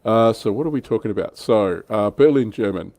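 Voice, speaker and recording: Low, mellow-voiced man hosting a chat. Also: fairly quick, no echo. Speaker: host and reviewer on a tabletop wargaming livestream. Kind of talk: casual panel chat.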